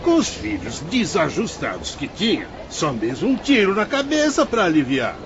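A man's voice: a little high, huge pitch swings, moderate pace. A man playing a hostile, scornful townsperson with a harsh manner in a radio drama. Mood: contemptuous. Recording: muffled, noisy with crowd.

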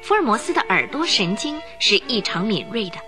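A woman narrating a radio drama in a clear, storytelling manner. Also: mid-range voice, big pitch swings, a little fast, music in the background.

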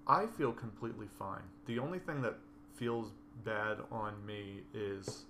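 A man with a raspy, hoarse voice chatting casually and dryly. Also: on the low side, expressive, a little slow, sharp tone, slight background noise. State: hoarse from coughing. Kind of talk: casual chat.

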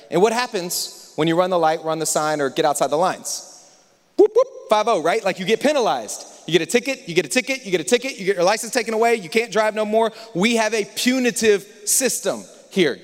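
A young adult man from the United States preaching a sermon, moving between loud, lively passages and hushed, confiding ones. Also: sits high. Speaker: pastor. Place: large church hall. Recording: church hall reverb.

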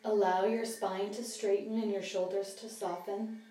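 A woman guides a body scan meditation in a soft, mellow voice. She gives gentle instructions with long pauses. The recording is roomy and a little distant from the mic, with music behind her. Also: medium pitch, level tone.

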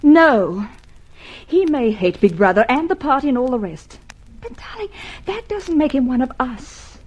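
A woman's voice: high-pitched, big pitch swings, unhurried, darkish tone, husky, heavily acted. An actress playing a tender, devoted but wary woman in love in a radio drama, with emotional, coaxing delivery. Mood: pleading, devoted. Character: tender young female lover, wary and devoted.

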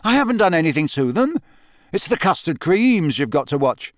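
A man voicing a cheeky, boisterous teenage prankster boy, loud and high above his own voice in a storybook reading. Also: big pitch swings, normal speed, dry recording, studio quality. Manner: boisterous, teasing.

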